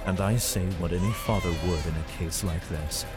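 A man's voice: low voice, moderate pace, over a noisy crowd, natural voice. A man playing a desperate father fleeing an alien invasion, narrating his escape in a first-person audio drama. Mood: tense narration. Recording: music bed under the voice.

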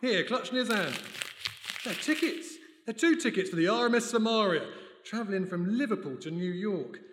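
A man playing a dry, long-suffering 1920s valet in a radio play, with an English accent and a wry, deadpan manner. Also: high voice, normal speed. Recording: echoey noisy hall, live stage recording.

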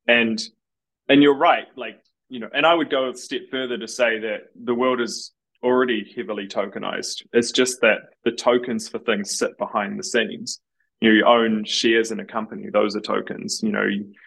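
A man's voice: on the low side, creaky, a bit bright, poor audio. A man as a podcast guest, confident and explanatory, building on the host's points. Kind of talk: casual podcast interview.

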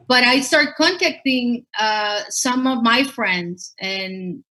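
A woman from Costa Rica with a Spanish accent, a guest answering interview questions in a halting way. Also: on the high side, sharp tone, poor audio. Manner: halting, many fillers and restarts. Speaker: podcast guest, sourcing expert.

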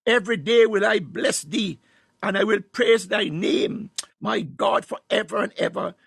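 A man's voice: high-pitched, a little slow, vocal fry, fairly bright tone, breathy edge, no echo, clean studio sound. A man preaching a fervent charismatic sermon, exclamatory and repetitive, reciting scripture and breaking into praise.

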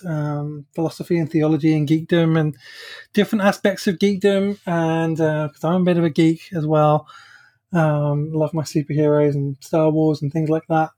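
A man's voice: on the high side, darkish tone. A man hosting a podcast, speaking casually and hesitantly with many "um"s. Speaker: podcast host. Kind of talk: casual conversation.

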